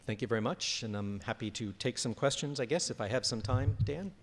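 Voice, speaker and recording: A man's voice: medium pitch, a little fast, slight background noise. A man giving a conference presentation and fielding audience questions. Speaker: conference speaker. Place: large conference room. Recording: reverberant hall.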